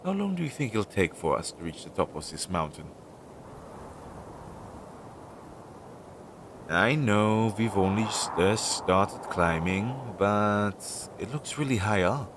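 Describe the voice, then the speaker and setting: A young man playing an anxious, earnest and loyal crow familiar in a roleplay audio drama, hesitant and chatty as he thinks aloud, on a low-quality amateur recording. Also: big pitch swings, a little slow, few pauses, background noise. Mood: curious, surprised. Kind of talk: roleplay audio drama, intimate one-sided dialogue.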